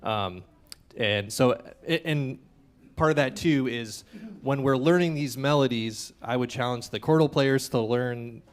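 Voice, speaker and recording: A man's voice: medium pitch, measured pace. A man, a trombonist, explaining ensemble playing at a workshop into a stage mic, thoughtful and hesitant with many fillers. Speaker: trombonist in the band. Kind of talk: workshop talk. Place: community center hall.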